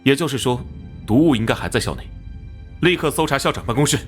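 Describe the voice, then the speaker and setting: An actor playing a hot-tempered, by-the-book police captain in an animated drama, blunt and authoritative. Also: male voice, mid-range voice, fast talker, soft background music, natural voice. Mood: stern, commanding.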